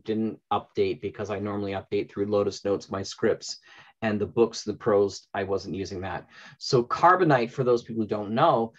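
A middle-aged man talking informally as the host of a panel. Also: lowish voice. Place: indoor room at home, on a video call. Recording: dull lo-fi video call audio.

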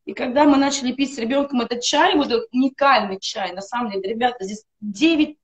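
A woman's voice: a little high, fast talker. A middle-aged woman giving an earnest personal testimonial on a video call from home, piling up emphatic lists. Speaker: guest on a live stream. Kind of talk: personal testimonial for a wellness product. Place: home room, indoors.

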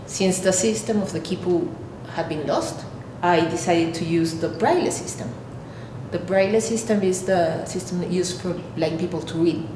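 A woman in her thirties from Peru with a Peruvian Spanish accent, an artist explaining her work haltingly, searching for words. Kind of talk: explaining own artwork to camera. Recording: echoey room, clear rumbling background noise.

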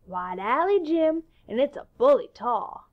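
A man's voice: extremely high pitch, sing-song, unhurried, muffled recording, pitched above the performer's own voice, full character voice. A man voicing an eager, scheming young boy who coaxes a friend with bribes. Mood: coaxing. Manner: coaxing, wheedling.

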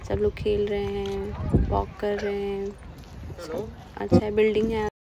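A woman narrates a casual walking vlog, chatting as she points out shops and sights. Her voice has a gravelly edge. Passing traffic and street noise are clearly heard behind her. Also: medium pitch, monotone, a little slow. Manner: chatty, plainly pointing things out while walking. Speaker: vlogger. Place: outdoors on city streets.

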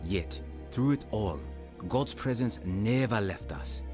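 A humble African evangelist in a radio drama. He speaks in a lowish, earnest voice, reflective as he recounts his life, with faint music behind him. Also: expressive, slow pace. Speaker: actor narrating in radio drama. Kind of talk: dramatised first-person narration and dialogue.

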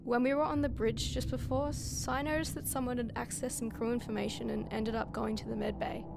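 A woman's voice: fairly high pitch, flat delivery, a little fast, gravelly, loud music behind the voice. A woman playing a frank, level-headed crew member in a sci-fi audio drama, speaking informally and directly. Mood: relieved, casual.

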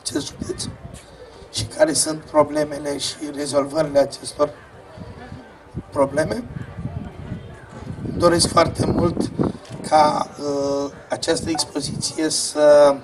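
A man's voice: fairly high pitch, flat delivery, pauses often. A man with a strained voice giving a formal public address as a local official at an outdoor ceremony. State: strained voice, apologised for. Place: open-air fairground stage. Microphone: distant camera, speech over loudspeakers. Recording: distant outdoor PA, clear background noise.

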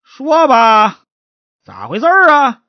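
A woman's voice: sits high, huge pitch swings, moderate pace, no echo, dull audio, voice pushed up. A woman storyteller voicing a bullying, hot-tempered male county magistrate with a folksy, threatening way of interrogating. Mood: barking, impatient.

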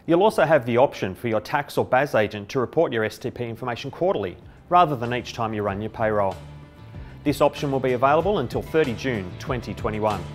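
A man's voice: mid-range voice, animated, fast talker. Middle-aged Australian man presenting with music behind. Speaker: company presenter. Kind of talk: scripted explainer to camera. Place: indoor office by a window. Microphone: lapel mic.

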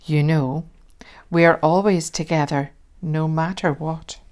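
A woman voicing a loving, reassuring young mother of twins in a children's storybook, gently and with emphasis. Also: deep voice, steady tone, slow pace, voice pushed down. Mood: tender.

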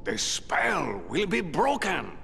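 A man voicing a courtly, theatrical enchanted candlestick in a cartoonish game, dramatic and drawn-out. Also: high voice, huge pitch swings, gravelly, bright, forceful tone, exaggerated voice. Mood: solemn. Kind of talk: cartoon-style game acting. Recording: reverberant hall, faint background noise.

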